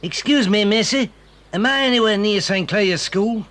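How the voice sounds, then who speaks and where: An actor playing a shifty rough man on a bicycle, talking gruffly and bluntly in a 1980s audio drama. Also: sits high, normal speed, fairly bright tone.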